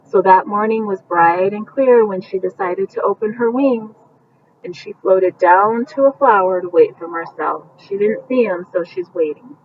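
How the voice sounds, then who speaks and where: A woman reading a picture book aloud at bedtime, gently explaining the pictures with casual asides as if to young children. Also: mid-range voice, normal speed. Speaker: storybook reader. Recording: muffled, distant mic with faint background noise.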